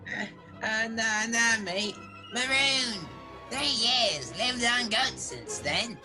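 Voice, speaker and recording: A woman's voice: fairly high pitch, expressive, takes it slowly, music in the background. A woman playing a half-wild marooned castaway sailor in a radio play, eager and rambling, with rustic sailor dialect. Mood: wistful, eager. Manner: rambling, repeats words, exclaiming. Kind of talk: children's theater radio play acting.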